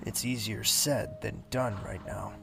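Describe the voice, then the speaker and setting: A man's voice: fairly low pitch, fairly even intonation, a little slow, gravelly, fairly bright tone, faint music behind. A man playing an exasperated, lovestruck young nobleman in a fantasy audio drama. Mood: guarded, troubled. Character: young nobleman, exasperated, secretly smitten, fearful of his father.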